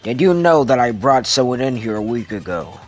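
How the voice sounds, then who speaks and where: A man voicing a brutal villain boss in an amateur comic dub, threatening, pompous and deliberate. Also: medium pitch, sing-song, faint music behind, natural voice. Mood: menacing. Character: menacing brutal villain boss interrogating a captive.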